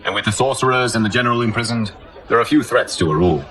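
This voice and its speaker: An actor playing a noble, solemn guardian god in a video game, with a formal, measured, dignified delivery. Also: male voice, fairly low pitch, a bit bright, a faint crowd, lightly acted. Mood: calm, gracious. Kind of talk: dramatic video game cutscene acting. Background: music.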